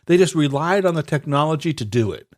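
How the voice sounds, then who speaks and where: A man's voice: fairly high pitch, animated, fast talker. A man co-hosting a casual podcast, stuffed up, talking in a profane, rambling way with stammered restarts and laughs. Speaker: podcast co-host. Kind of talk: casual banter.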